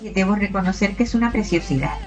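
A woman's voice: mid-range voice, quick pace. A middle-aged woman in casual chat, telling personal anecdotes and acting out quoted dialogue. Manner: animated retelling with quoted dialogue. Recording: faint music behind.

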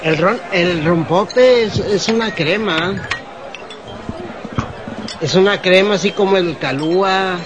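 A man's voice: high voice, takes it slowly, long pauses, background noise. A man chatting casually with companions over drinks. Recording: busy crowd chatter around the voice.

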